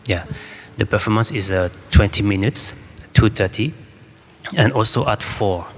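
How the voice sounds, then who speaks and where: A man with a French accent gives an informal talk in hesitant, halting English. His voice is low and his delivery very slow. It carries through a reverberant hall. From France. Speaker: choreographer, guest speaker. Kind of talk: informal public talk and audience Q&A. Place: indoor public hall with audience. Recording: echoey hall, faint background noise.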